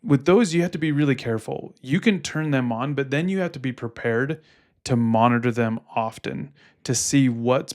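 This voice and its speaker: A man with a medium-pitched voice co-hosts a podcast. He talks in a casual, explanatory way, walking listeners through practical advice as a specialist would. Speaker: podcast co-host and Google Ads specialist. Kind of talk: casual advisory podcast talk.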